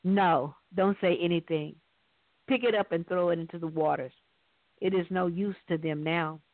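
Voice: mid-range voice, steady tone, normal speed, no room sound, lightly acted. A story reader voicing a proud young warrior man who is defiant, blunt and commanding. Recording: thin, dry phone-line sound.

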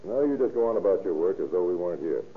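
Deep-voiced, gruff police captain, old radio. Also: male voice, animated, fast, a little hiss or hum. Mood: businesslike. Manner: clipped, commanding, slangy. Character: gruff, brisk middle-aged male police captain investigating a murder. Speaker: actor in a radio crime drama. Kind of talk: old-time radio drama acting. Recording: muffled, lo-fi old radio recording.

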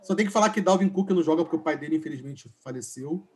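A man's voice: a little high, rapid-fire. A Brazilian man hosting a casual sports podcast, blunt and joking as he moderates. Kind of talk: casual moderating and game chat.

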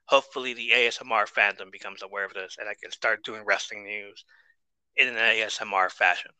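A man hosting a casual podcast, reading listener shout-outs and the outro in an easygoing, rambling way with a soothing voice. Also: on the low side, steady tone, a little fast, clean tone, no room sound, poor audio. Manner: easygoing, rambling, lots of fillers and laughs. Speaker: podcast host.

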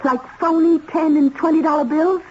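A woman's voice: high voice, steady tone, moderate pace, lightly acted. An actress playing an anxious but stubborn woman in a vintage radio drama, earnest and questioning. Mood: defiant. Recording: dull, hissy old radio recording.

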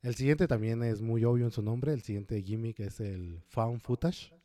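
Man, very dark mellow voice, mid pitch, relaxed explaining, podcast co-host. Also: moderate pace. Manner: explains at length, relaxed with filler words. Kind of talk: casual podcast chat about horror films.

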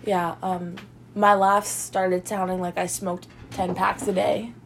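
A woman's voice: fairly low pitch, little pitch movement, slow pace, slight background noise. A young woman co-hosting a casual chat, sounding stuffy and congested and rambling with giggles and fillers. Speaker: podcast co-host.

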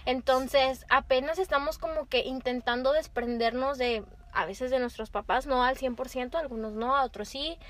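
A woman's voice: sits high, normal speed, few pauses. A woman in her early twenties with a Mexican accent, chatting casually as a co-host in a colloquial, slangy, explanatory way. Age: early 20s. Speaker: co-host of a conversational show. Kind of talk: casual chat.

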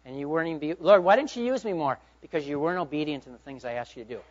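High-voiced preaching man, lo-fi. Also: lively intonation, rapid-fire. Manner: emphatic preaching, swinging between loud urging and hushed asides. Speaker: pastor. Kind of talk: sermon. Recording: dull lo-fi sound.

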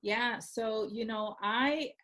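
A woman from Puerto Rico who grew up in Florida, a consultant talking as a podcast guest in a chatty, animated way, laughing easily. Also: a little high, slow pace. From Puerto Rican, grew up in Orlando, Florida. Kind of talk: casual interview conversation.

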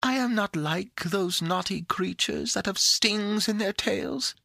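A man's voice: high-pitched, takes it slowly, vocal fry, pushed and bright, no echo, clean studio sound, pitched above the performer's own voice, exaggerated voice. A man voicing a tiny, sweet, cheerful female fairy for a storybook, with a coaxing, sing-song manner. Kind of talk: storybook character voice.

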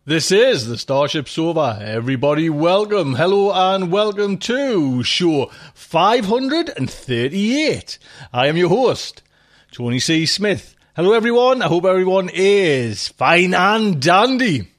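Middle-aged male podcast host, high lively voice, loose casual rambling delivery. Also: measured pace. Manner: rambling, chatty, laughs often, swears. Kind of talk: casual chat.

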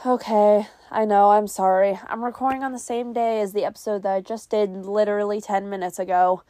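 A woman's voice: medium pitch, fairly even intonation, brisk, dark tone, no room sound. A young woman hosting her own podcast, rambling in a chatty, self-mocking way while stuffy with a cold. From New England, US. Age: young adult. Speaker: podcast host. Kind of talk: casual solo podcast chat.

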